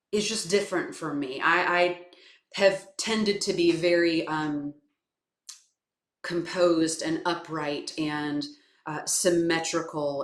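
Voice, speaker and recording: Low-voiced woman from south carolina, lots of ums and restarts, roomy echo. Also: fairly bright tone. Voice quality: naturally low voice. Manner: thoughtful, hesitant, many fillers and restarts. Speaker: guest, voice coach and actor. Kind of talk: reflective interview answers. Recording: echoey room.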